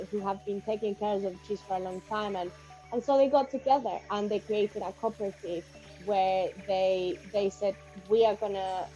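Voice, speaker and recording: A woman's voice: mid-range voice, darkish tone, rough recording. A woman from Ecuador, a guest in a casual conversation, telling a long story earnestly with many "like"s and "you know"s. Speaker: cacao seller, guest.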